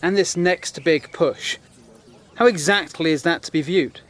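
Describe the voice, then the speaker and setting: An actor playing a principled, sceptical British war correspondent in a First World War audio drama, earnest and articulate with a dryly challenging manner. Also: male voice, fairly high pitch, unhurried, fairly bright tone. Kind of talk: period audio drama acting.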